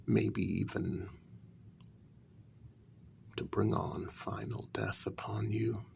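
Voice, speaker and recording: A man performs a devoted, reasoning human consort of a vampire queen in an intimate ASMR role-play. His lowish voice is thick with gravelly creak and often drops to a breathy half-whisper. He takes his time, placing each phrase deliberately with lingering pauses. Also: exaggerated voice. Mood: earnest, hushed.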